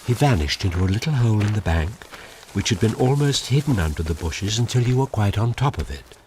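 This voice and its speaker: A man's voice: fairly low pitch, lively intonation, a little fast, a little mellow, slight background noise, poor audio. A man narrating a scripted children's story as a storyteller. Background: music.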